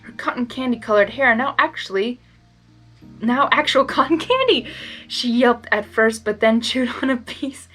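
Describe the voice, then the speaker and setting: A woman's voice: a little high, expressive, moderate pace, a bit bright, faint music behind. A woman narrating fan fiction expressively, slipping into casual, emotionally invested asides.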